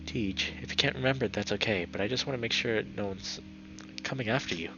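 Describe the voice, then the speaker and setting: A man voicing a burly, protective male wolf in a visual novel, by turns commanding and gently coaxing. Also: on the low side, brisk, dull audio, over music, lightly acted. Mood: gentle, coaxing.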